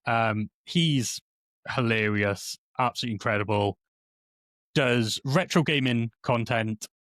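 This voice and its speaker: A man's voice: medium pitch, measured pace, a bit bright, dry recording. A man from South Wales who is a guest on a podcast, chatting casually, laughing often and repeating words as he talks. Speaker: guest, streamer.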